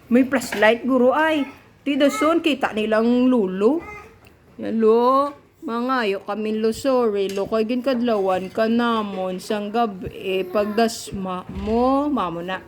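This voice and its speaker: A woman improvises a story for children. She is playful and expressive, but takes her time. The recording has faint noise behind her. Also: fairly high pitch, slow.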